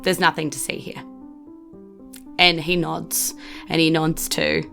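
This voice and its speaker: New Zealand woman GM, low fry-edged voice, halting narration. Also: level tone, bright, forceful tone, soft background music. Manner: hesitant, full of fillers and restarts. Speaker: game master. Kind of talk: narrating a tabletop game.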